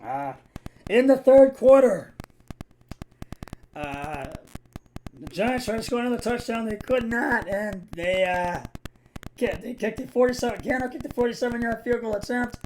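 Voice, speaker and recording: Male amateur sports host, raspy mid-high voice, halting and stumbling delivery, noticeable background noise. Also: a little mellow, poor audio. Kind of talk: amateur football game recap.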